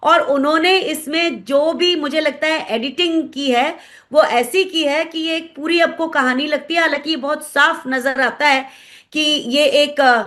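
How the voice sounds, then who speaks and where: A woman with an Indian accent giving analytical commentary in an interview, explaining things and pausing to search for words. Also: sits high, fairly bright tone. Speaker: guest commentator, former pilot.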